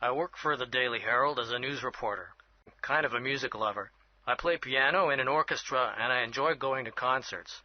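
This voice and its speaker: A man in his thirties acting an earnest reporter introducing himself slowly. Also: mid-range voice, close to an everyday voice. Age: 30s. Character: earnest male reporter and music lover. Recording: dull lo-fi audio.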